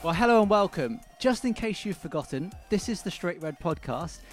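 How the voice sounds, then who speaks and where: A man's voice: sits high, lively intonation, normal speed, music in the background. A man co-hosting a football podcast in a casual, chatty style. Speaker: podcast co-host.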